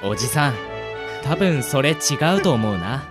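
A man playing a polite, unflappable teenage shop manager in an amateur radio drama, speaking gently and matter-of-factly. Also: mid-range voice, big pitch swings, normal speed, over music, natural voice. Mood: calm, matter-of-fact.

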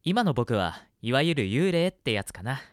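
A man voicing the gentle, cautious ghost of a sixteen-year-old boy in a radio drama, earnest and reassuring. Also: mid-range voice, very animated, normal speed, dry recording, clean studio sound, close to an everyday voice. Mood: gentle, explanatory. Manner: soft-spoken, earnest, reassuring.

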